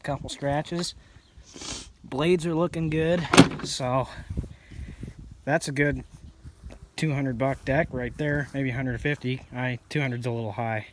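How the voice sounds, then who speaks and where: A man's voice: mid-range voice, fairly even intonation, a little slow, pauses often, background noise. A sniffly man narrating his repair work in a casual, rambling, blunt way, often correcting himself. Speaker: hobby mechanic vlogger.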